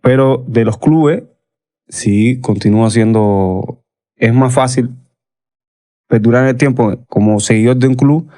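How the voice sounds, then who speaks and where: A man with a Cuban accent speaking as a football coach and analyst in a reflective podcast conversation, measured and often pausing to rephrase. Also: mid-range voice, warm tone. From Cuba.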